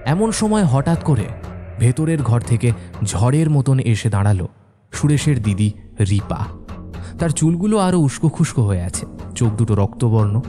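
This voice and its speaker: A man's voice: mid-range voice, very animated, normal speed, long pauses, mellow. A man narrating a scripted horror story in a storyteller's delivery. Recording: music under the voice.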